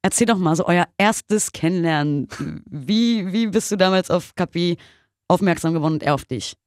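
A woman hosting a radio interview, lively and chatty, with frequent laughs. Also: fairly low pitch, moderate pace, dry recording, studio quality. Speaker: radio show host.

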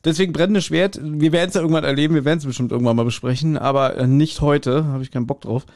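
A man's voice: fairly high pitch, steady tone, fast talker, a little mellow, dry recording, studio quality. A middle-aged man co-hosting a podcast, reviewing audio drama in a casual, colloquial and jokey way. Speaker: podcast host.

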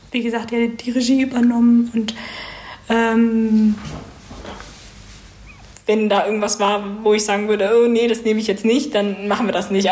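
Young woman from berlin chatting in an interview, kinda high voice, explains stuff informally, roomy echo. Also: darkish tone. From Berlin, Germany. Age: 20s. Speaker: interviewee, creative writing student and audio drama writer. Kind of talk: casual interview. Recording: roomy, echoing interview recording.

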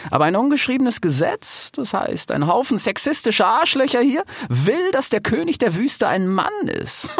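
A cackling, scheming female hyena, as a male narrator puts her on, high and wildly swooping in pitch. She is bold, flirtatious and flattering, a storybook schemer through and through. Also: gravelly, breathy edge, no room sound, studio quality, full character voice. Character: sly, flattering, ambitious female hyena who giggles.

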